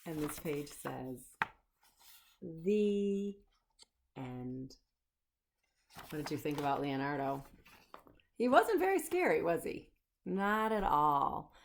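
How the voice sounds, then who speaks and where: A woman's voice: low-pitched, lively intonation, a little slow, vocal fry, slight background noise. A woman children's storyteller reading a picture book aloud, expressively, explaining and putting questions to the listener.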